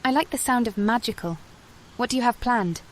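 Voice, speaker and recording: A woman's voice: a little high, fairly quick, slight background noise, close to an everyday voice. A woman playing a composed, forthright elven sorcerer in a video game, speaking directly. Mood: cool, teasing. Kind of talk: video game character acting.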